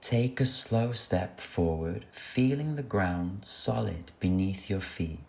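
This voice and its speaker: Slow, low-voiced man guiding meditation. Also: gravelly. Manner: gentle, unhurried, soothing instruction. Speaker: meditation guide. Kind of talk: guided meditation. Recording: soft music under the voice. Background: music.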